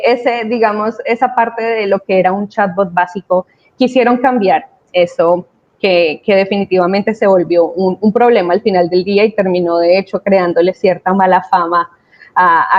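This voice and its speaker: A woman's voice: medium pitch, darkish tone. A woman giving a conversational company presentation, explaining things with emphasis. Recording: dull lo-fi video-call audio.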